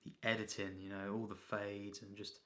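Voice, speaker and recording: A man giving an unscripted, rambling film review as a reviewer, in a halting, repetitive way full of filler phrases. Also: on the low side, level tone, fairly bright tone.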